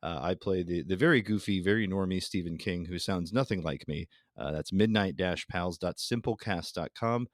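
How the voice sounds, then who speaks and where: Low-voiced man, playful podcast guest. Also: normal speed, few pauses, no echo, clean studio sound. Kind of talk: casual podcast chat.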